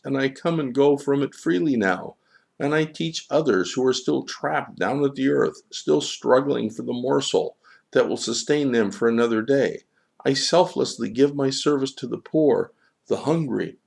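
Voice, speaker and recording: A proud and restless seagull from a storybook, acted out by a man. The voice climbs higher in boastful, defensive protest, insisting on its own greatness. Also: lively intonation, moderate pace, vocal fry, darkish tone. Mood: defensive, earnest.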